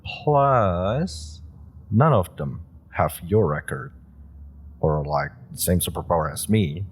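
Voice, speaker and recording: Guy doing an eager young super rookie, deep husky voice, slow but bouncy delivery. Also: expressive, heavily acted. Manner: eager, pushy, persuasive talk with playful laughs. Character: eager young male super-powered rookie, pushy and persuasive. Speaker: voice actor in an audio roleplay. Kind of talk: scripted audio roleplay addressed to the listener.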